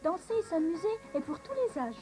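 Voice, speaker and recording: A woman's voice: extremely high pitch, big pitch swings, fairly quick, a little mellow, faint music behind. A woman playing a sweet, naive young princess in a cartoon, girlish and exclamatory. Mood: cheerful. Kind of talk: French-language cartoon dub acting.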